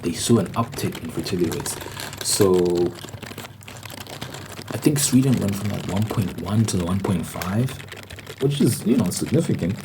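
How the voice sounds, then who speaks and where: A low-pitched speaker delivers a casual ASMR ramble full of opinion. The delivery is emphatic, circling back to restate key points. Noticeable noise runs behind the voice. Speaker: host. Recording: clearly noisy background.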